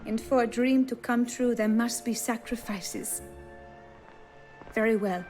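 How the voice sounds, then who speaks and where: Resolute young noblewoman for a fantasy game, female actor: refined, precise, fairly high-pitched, light score underneath. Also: steady tone, a little slow, darkish tone, lightly acted. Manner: formal, courtly, precise phrasing. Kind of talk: video game cutscene acting. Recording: faint music under the voice.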